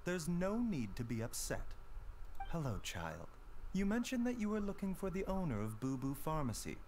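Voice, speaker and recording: A man playing a gentle, courteous doctor in a video game, speaking politely and formally. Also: fairly high pitch, very animated, moderate pace, slight background noise. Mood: gentle, placating. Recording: game music underneath.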